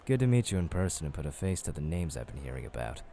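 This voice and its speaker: A man playing a suave, intimidating supervisor in an audio drama, speaking in a cool, formal, measured way. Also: a bass voice, very animated, gravelly, mellow, slight background noise, exaggerated voice. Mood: cool, polite. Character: suave, intimidating supervisor, secretly a famous musician.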